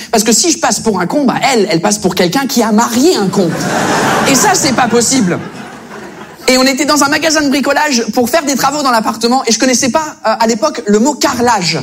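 A British man whose first language is English, doing stand-up comedy with animated storytelling in fluent French. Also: very high voice, brisk, a little hiss or hum. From Britain. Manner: animated storytelling, acting out other people.

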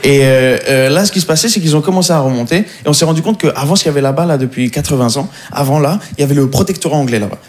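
A man doing stand-up comedy on a club stage, telling stories with animation and slowing down for punchlines. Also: fairly high pitch. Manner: animated storytelling, slows down for punchlines.

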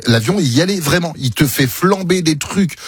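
A man's voice: on the high side, expressive, moderate pace, husky, no echo. A man telling an eager anecdote as a guest on a radio show. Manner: eager, storytelling.

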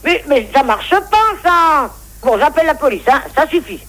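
A woman's voice: sits high, big pitch swings, moderate pace, a bit bright. A woman complaining on a phone call in an exasperated, scolding manner.

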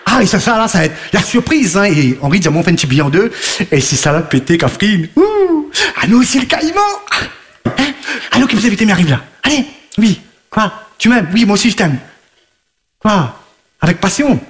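A man's voice: sits high, very animated, fairly quick. A man playing a boastful, party-loving husband who juggles his wife and mistress in a radio comedy sketch, talking in broken French, rambling and laughing. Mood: flustered, chatty. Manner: sing-song chanting and sudden shouting.

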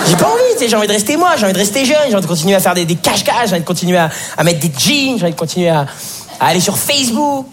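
A nineteen-year-old man doing a stand-up routine on stage, animated and talking to the audience while acting out voices. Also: high-pitched. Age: teens. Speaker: stand-up comedian. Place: stage before a live audience. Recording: live stage, crowd and noise.

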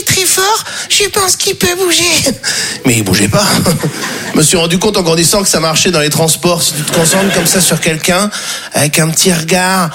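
Young man doing stand-up, jokey. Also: fairly bright tone. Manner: playful, jumps into high squeaky voices for quoted people. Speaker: stand-up comedian. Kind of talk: stand-up comedy set. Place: comedy stage before a live audience.

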